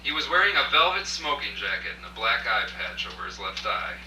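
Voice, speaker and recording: Man acting a low-voiced wry journalist, distant and echoey. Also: big pitch swings, brisk, sharp tone, heavily acted. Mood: wary, wry. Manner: dry, wry storytelling. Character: broke, wry freelance journalist narrating his strange tale. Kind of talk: hard-boiled first-person radio drama narration. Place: echoey room at a dress rehearsal. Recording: echoey, distant, noisy old video rehearsal recording.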